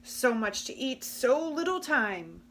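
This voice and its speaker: A woman voicing a greedy male raccoon who growls his lines, loud and eager to eat. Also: sits high, big pitch swings, takes it slowly, slight background noise, voice pushed up, exaggerated voice.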